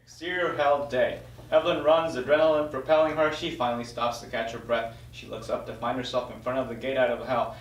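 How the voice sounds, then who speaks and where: A man narrates scene headings and action from a screenplay at a table read. His voice is mid-pitched, caught from some distance in a roomy, echoing space. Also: fairly even intonation, fairly quick, slight background noise. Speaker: stage directions reader. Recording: roomy echo, distant microphone.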